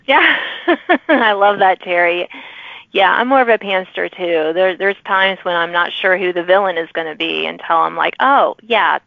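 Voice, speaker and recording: A woman's voice: lowish voice, normal speed, gravelly, dry recording, narrow, tinny audio. A woman hosting a podcast interview in a casual, chatty and affirming conversational way. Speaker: podcast host interviewing an author.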